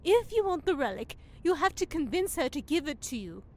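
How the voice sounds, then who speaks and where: Very high, prim scolding fairy. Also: female voice, very animated, a little fast, a little mellow. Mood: knowing. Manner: theatrical, disapproving, precise. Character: prim, scolding, knowing female forest fairy. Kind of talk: fantasy comedy audio drama acting.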